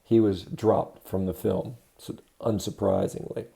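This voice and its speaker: Low-pitched, very dark, gravelly man's voice. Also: little pitch movement. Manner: matter-of-fact, halting delivery with self-corrections. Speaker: host of a diet commentary video. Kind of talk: unscripted critical commentary to camera.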